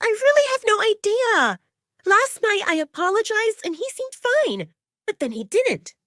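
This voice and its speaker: High, whiny spoiled daughter. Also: female voice, big pitch swings, measured pace, a bit bright, no echo, clean studio sound, exaggerated voice. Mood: whiny, sulking. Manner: whining, pouting, exaggerated. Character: whiny, spoiled, entitled young she-wolf daughter. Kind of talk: audio drama character voice.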